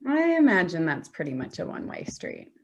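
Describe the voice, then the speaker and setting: A woman's voice: on the low side, huge pitch swings, brisk, slight background noise. A woman playing a hurt, sarcastic adult daughter in a staged play reading, dry and confrontational with her mother.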